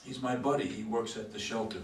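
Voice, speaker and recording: A man in a stage play reading, playing a flustered, evasive homeless man with a halting, stammering delivery. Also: on the low side, steady tone, lightly acted. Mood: wistful. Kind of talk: stage play read aloud by readers' theater. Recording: echoey room, distant mic, faint noise.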